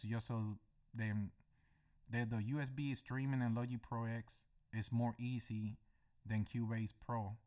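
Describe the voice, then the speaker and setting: A man's voice: on the low side, darkish tone. Middle-aged man. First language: Spanish. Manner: loose, rambling explanations with many fillers. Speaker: music producer tutorial host. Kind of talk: screen-recorded software tutorial.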